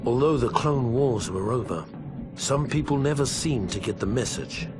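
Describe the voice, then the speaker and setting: An actor playing a weathered veteran clone trooper, narrating his war memoirs for a video game in a measured, grave, wry soldierly manner. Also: mid-range voice, expressive, natural voice. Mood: wry, dry. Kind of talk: scripted in-character journal narration for a game. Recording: music behind the voice.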